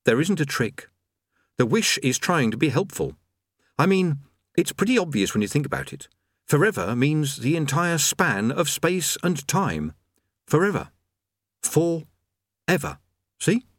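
A man's voice: on the high side, very animated, moderate pace, slightly rough, dry recording, pitched above the performer's own voice. A man voicing a gloomy, cowardly wizard in an audiobook reading, dry and matter-of-fact. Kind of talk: audiobook character voice.

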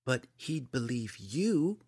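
A man's voice: fairly high pitch, very animated, normal speed, voice pushed up. A man voicing a defiant, mischievous little farm boy who protests stubbornly and emphatically. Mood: eager insistence.

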